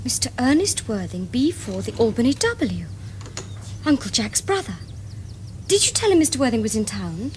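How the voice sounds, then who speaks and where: A young upper-class English girl in a period comedy. She is sweetly artful and earnest, with a fairly high voice that rises and falls freely and crisp enunciation. The recording carries some background noise. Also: big pitch swings, moderate pace. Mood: nervous excitement. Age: young adult. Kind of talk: period comedy screen acting.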